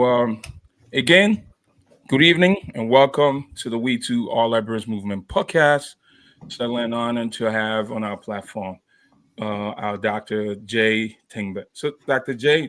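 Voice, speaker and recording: A man hosting a podcast interview, talking haltingly with many fillers. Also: medium pitch, a bit bright. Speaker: podcast host. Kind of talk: interview introduction.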